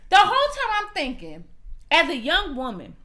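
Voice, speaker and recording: A woman co-hosting a reality show review in casual chat, animated and playful, quick to tease. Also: extremely high pitch.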